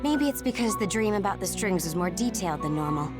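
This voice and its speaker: An actress playing a calm, analytical young squad member in a video game cutscene. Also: female voice, mid-range voice, quick pace, close to an everyday voice. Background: loud music.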